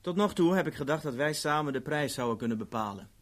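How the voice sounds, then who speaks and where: Urbane, coolly menacing secret agent. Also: male voice, on the high side, lively intonation, fast talker. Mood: suave, menacing. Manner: polite, wry, matter-of-fact about threats. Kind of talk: 1970s Dutch radio play acting.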